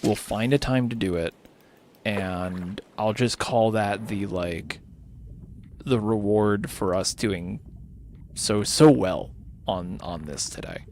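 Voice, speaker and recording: A tired man whose voice is starting to go hoarse, rambling casually and thinking aloud as he hosts a gaming livestream. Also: fairly low pitch, slow pace, darkish tone, a little hiss or hum. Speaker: livestreamer hosting a charity gaming stream. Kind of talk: casual unscripted game-stream chat.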